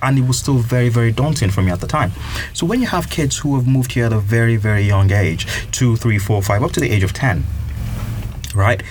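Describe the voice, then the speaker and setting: A man's voice: medium pitch, brisk, slight background noise. A man from Nigeria telling personal stories earnestly in a casual chat, building his points with repeated phrases. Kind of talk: reflective storytelling in casual chat.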